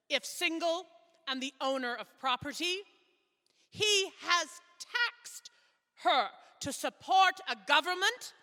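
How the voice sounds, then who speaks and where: A woman in a readers theater playing a resolute 1840s suffragist, declaiming solemnly and emphatically in short, halting phrases. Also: high voice, sharp tone, in a large hall, exaggerated voice.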